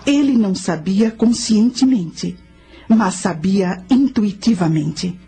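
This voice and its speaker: An actress playing a knowing spirit guide, telling ancient history and teaching in a measured, solemn way in a radio drama. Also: female voice, medium pitch, lively intonation, dark tone, a little hiss or hum. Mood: instructive. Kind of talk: scripted radio drama narration.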